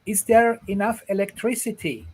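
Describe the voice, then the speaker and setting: A man's voice: high-pitched, moderate pace, slightly rough. A man who grew up in Ghana, speaking as a guest on an online panel over a video call, earnest and often repeating and restarting his phrases. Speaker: guest, rail project executive.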